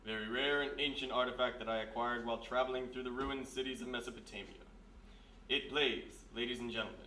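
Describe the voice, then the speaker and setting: Man playing a grandiose stage magician, bright, echoing. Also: mid-range voice, natural voice. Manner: theatrical, florid, solemn. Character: grandiose male stage magician of mystery and the occult. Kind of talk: old-time radio mystery acting. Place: live performance in a roomy historic house. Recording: echoey live stage, distant mic, hiss.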